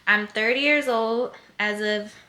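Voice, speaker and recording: A woman's voice: a little high, a little slow, sharp tone. A woman, chatting casually to camera as a first-time vlogger, rambling with lots of fillers. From Philadelphia, USA. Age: 20s. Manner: blunt, heated and cursing when ranting.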